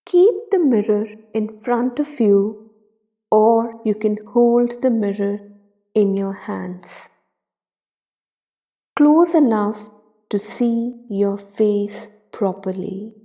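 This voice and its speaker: A woman leads a guided meditation in a relaxed, mellow voice of medium pitch. Her delivery is extremely slow and deliberate. She speaks in short, soothing phrases with long pauses between them.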